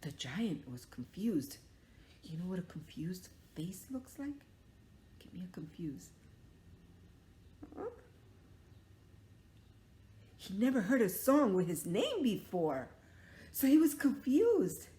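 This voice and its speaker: A woman telling a story to young children, dramatic, stretching out words and dropping into hushed tones, with questions to her listeners. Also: mid-range voice, very animated, takes it slowly, long pauses, slight background noise. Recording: echoey room.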